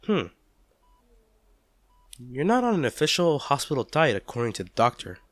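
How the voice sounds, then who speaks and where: A man's voice: mid-range voice, big pitch swings, moderate pace, no room sound. Man acting a devoted, protective boyfriend, close dry sound. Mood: gentle, coaxing. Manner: tender, pleading, reassuring. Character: devoted, protective male partner comforting an injured loved one. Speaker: audio roleplay performer. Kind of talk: intimate audio drama roleplay, one-sided dialogue.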